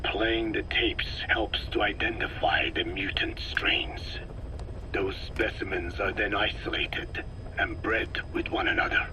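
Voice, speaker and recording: Man acting elderly learned scientist, low voice, breathy rasp, solemn measured explanations, video game briefing, noisy cassette sound. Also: fairly even intonation, natural voice. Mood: grave, instructive. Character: grave, learned old male scientist explaining his parasites.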